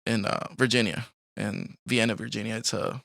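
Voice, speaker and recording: A man's voice: lowish voice, fairly even intonation, moderate pace, creaky, fairly bright tone, no room sound, clean studio sound. A young man from Colombia telling his life story in a casual interview, rambling with lots of fillers and frequent laughs. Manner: rambling, laughs often, many fillers like "like" and "you know". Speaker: podcast guest.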